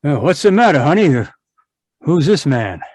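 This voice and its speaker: A man playing a guarded married man with a mistress, hesitant and measured, in a noir audio drama. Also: a little high, very animated, darkish tone, no echo. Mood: suspicious.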